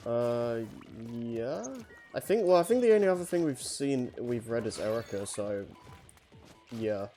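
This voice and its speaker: A man's voice: medium pitch, lively intonation, unhurried, warm tone, soft background music. A man co-hosting a casual livestream who agrees easily, laughs a lot and answers briefly. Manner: agreeable, laughing, short replies.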